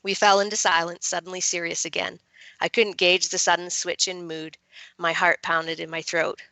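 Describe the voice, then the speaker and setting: A woman reading a young adult novel as its guarded, wry teenage girl narrator, with measured expression. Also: on the low side, level tone, vocal fry, pushed and bright, dry recording, rough recording. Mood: wry, tense.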